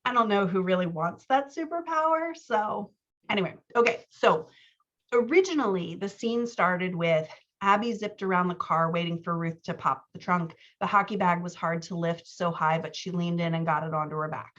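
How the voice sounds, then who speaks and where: Female writing coach, lowish register, chatty workshop critique with self-deprecating humour, read-aloud excerpts, slightly muffled lo-fi recording. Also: moderate pace, a bit bright.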